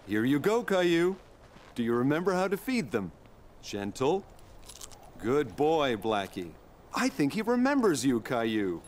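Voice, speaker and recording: A man's voice: mid-range voice, big pitch swings, measured pace, a little hiss or hum. A man playing a friendly, welcoming farmer in a children's cartoon, kindly and explaining things simply.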